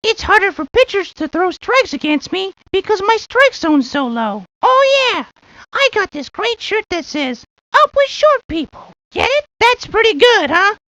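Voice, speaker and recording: A woman's voice: very high-pitched, sing-song, measured pace, fairly bright tone, dry recording, full character voice. A woman voicing an earnest, chatty, always-hungry little boy in scripted lines for a video game. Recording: dull, lo-fi 1990s game audio.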